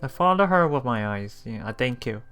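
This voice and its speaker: A let's play host casually commenting on a visual novel and reading its lines aloud in a halting, chuckling way. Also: medium pitch, lively intonation, fairly bright tone, clear, resonant tone. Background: visual novel background music.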